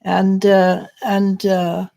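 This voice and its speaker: Husky-voiced woman psychic, gravelly and mellow. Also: mid-range voice, monotone, extremely slow. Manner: rambling, restarting sentences. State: losing her voice, clearing throat. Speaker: psychic reader and show host. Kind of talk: live-stream spiritual advice chat.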